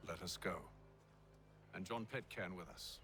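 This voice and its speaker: An actor playing a composed, cunning English gentleman leader in a video game, speaking with refined, measured authority. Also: low voice. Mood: firm. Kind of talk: dramatic video game cutscene acting. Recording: prominent music behind the voice.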